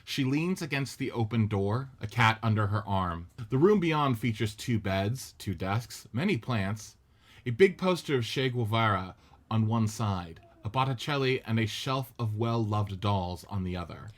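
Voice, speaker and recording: A man narrating the stage directions of a radio play script in a steady, descriptive way. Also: lowish voice, measured pace.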